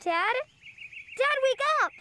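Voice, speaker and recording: A woman's voice: very high voice, animated, slow, over music, heavily acted. A woman voicing a frightened, panicking five-year-old child in a radio drama. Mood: panicked.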